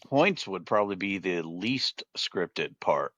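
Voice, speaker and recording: Low voiced guy, kinda bright tone, chill podcast cohost, dry close mic. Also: expressive, slow pace, dry recording, highs cut off. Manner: short agreeing replies, laid-back. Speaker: podcast co-host. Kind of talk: casual chat.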